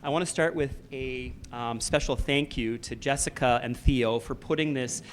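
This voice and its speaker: A man's voice: mid-range voice, moderate pace, a little hiss or hum. Canadian man moderating a panel. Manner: conversational, many fillers and "sort of". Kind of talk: panel introduction at a festival.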